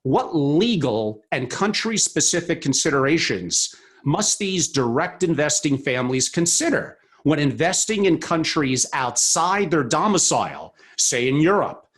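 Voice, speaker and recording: A man hosting a podcast, reading a formal introduction and asking interview questions in a measured way. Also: fairly high pitch, a bit bright. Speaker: podcast host.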